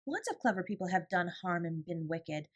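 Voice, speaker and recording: A woman voicing a bright, earnest, imaginative schoolgirl in a storybook, with emphatic, old-fashioned phrasing. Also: lowish voice, animated, moderate pace. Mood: earnest, instructive.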